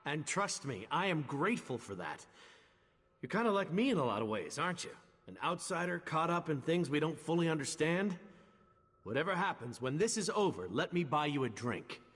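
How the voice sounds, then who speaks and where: An actor playing a chatty, wry, loyal adventurer man in a video game, with a husky voice and a casual, expressive manner. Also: on the high side, normal speed, heavily acted. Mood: earnest. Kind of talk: video game character dialogue. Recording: long reverberant hall echo.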